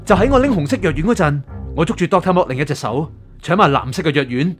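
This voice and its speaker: A man's voice: on the high side, big pitch swings, fast talker. An actor with a Hong Kong Cantonese accent playing an anxious, curious male writer who narrates his story introspectively in a radio drama. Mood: tense recounting. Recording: music under the voice.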